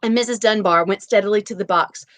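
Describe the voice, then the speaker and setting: A woman reading a short story dramatically, with measured, deliberate narration. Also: medium pitch, a bit bright, dry recording. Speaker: reader at a literary event.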